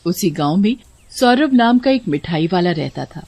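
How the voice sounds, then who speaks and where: A woman's voice: on the low side, animated, moderate pace, a little mellow, no room sound. A woman reading scripted story narration as the narrator.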